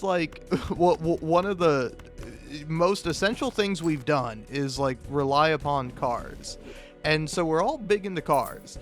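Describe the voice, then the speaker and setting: A man with a slightly high voice rambles through a casual comic monologue over faint music. He swears freely and trips over his words, repeating bits and breaking off mid-sentence to start again. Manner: profane, halting, self-interrupting with stammered repeats; chuckles often while talking. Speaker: host of a comedic video.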